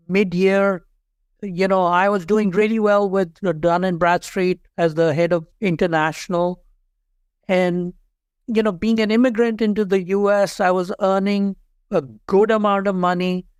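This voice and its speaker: A man in his fifties from Pakistan with a Pakistani accent, telling career stories as an interview guest in an earnest, steady way. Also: high voice, moderate pace, smooth, no echo, clean studio sound. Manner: earnest, steady, repeats key points. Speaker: guest, HR leader and author. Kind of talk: interview storytelling.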